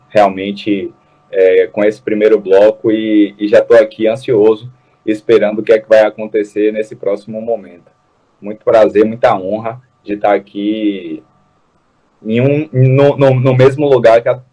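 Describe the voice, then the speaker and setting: A man's voice: lowish voice, steady tone, moderate pace, dark tone, rough recording. A man, a food security activist speaking as a guest on a talk show, earnest and often restarting his words. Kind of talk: casual talk-show chat.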